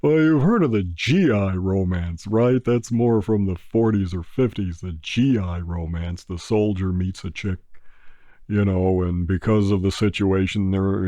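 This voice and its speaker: An older man rambles through a relaxed podcast chat in a very low, mellow voice. He takes his time and fills the gaps with "uh". The sound is dry and close, with no room around it. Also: no echo. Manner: unhurried, many "uh" fillers, breaks into crooning an old song. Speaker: podcast host.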